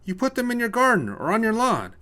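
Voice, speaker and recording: A man's voice: very high voice, wildly swooping pitch, brisk, bright, forceful tone, pitched above the performer's own voice, heavily acted. A man voicing a friendly, teasing suburban husband in an audiobook story, folksy and eager. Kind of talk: audiobook character voice.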